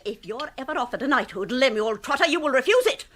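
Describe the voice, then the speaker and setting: Bossy outraged wife, high piercing voice, swoops about, scolds everyone, radio comedy. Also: female voice, animated, quick pace, fairly bright tone. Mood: scolding. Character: domineering, indignant middle-aged wife, bossy and snobbish. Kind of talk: comic radio drama acting.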